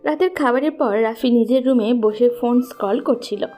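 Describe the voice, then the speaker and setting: A woman's voice: fairly high pitch, normal speed, warm tone, soft background music. A woman narrating a scripted story as its storyteller.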